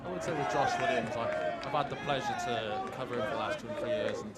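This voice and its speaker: A man's voice: medium pitch, fast. A man co-commentating on a live football match in a conversational, analytical style. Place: outdoors at a football ground. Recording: outdoors with loud crowd noise. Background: loud crowd.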